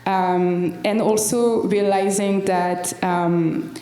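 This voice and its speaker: A woman with a strong French accent talks on a panel, halting often and restarting her sentences. Her voice is soft and mellow. She sounds a little distant from the mic, in an echoing room. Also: mid-range voice, steady tone. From France. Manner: hesitant, many fillers and restarts. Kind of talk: panel discussion. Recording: roomy hall, mic at a distance.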